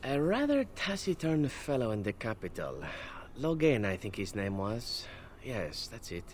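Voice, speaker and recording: An actor voicing a charming, glib male elf assassin in a video game, smooth and playfully teasing. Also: mid-range voice, huge pitch swings, a little slow, slight background noise. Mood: sly. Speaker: game character.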